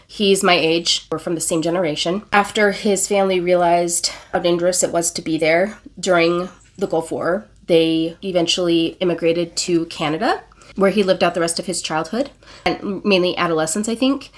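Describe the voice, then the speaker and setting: A woman's voice: fairly low pitch, fairly even intonation, moderate pace. Congested woman, earnest book vlogger. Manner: earnest, emotional, talks with fillers and self-corrections. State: congested, recovering from illness. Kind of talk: casual reading vlog.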